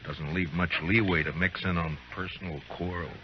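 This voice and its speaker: An actor playing a weary, steady middle-aged frontier marshal in a radio western, speaking in a measured, laconic, authoritative way. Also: male voice, low-pitched, background noise, close to an everyday voice. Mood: grave, guarded. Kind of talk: old-time radio western acting. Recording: dull old radio recording, music.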